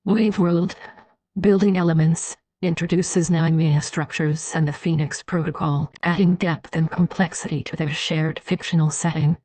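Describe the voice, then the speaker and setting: A woman reading scripted analytical narration in a formal, measured manner. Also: deep voice, fairly even intonation, warm tone, no echo.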